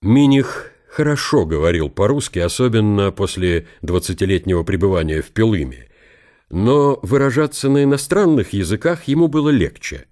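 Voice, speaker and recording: Deep-voiced male audio drama narrator. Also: expressive, normal speed, darkish tone. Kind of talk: literary scripted narration.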